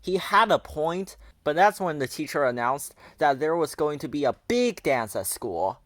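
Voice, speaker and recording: Animated man reading a children's storybook. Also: a little high, normal speed, no room sound. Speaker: storybook narrator. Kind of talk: children's read-aloud narration.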